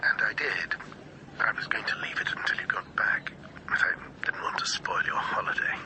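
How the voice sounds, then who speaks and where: An actor playing a reluctant, confiding friend in a radio drama, in a breathy, half-whispered voice. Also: male voice, moderate pace, lightly acted, low-quality recording. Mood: reluctant, hushed. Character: reluctant, confiding friend breaking bad news.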